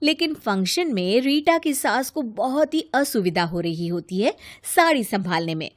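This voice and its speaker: A woman's voice: on the high side, expressive, a little slow, no room sound, clean studio sound. A woman narrator reading a scripted story.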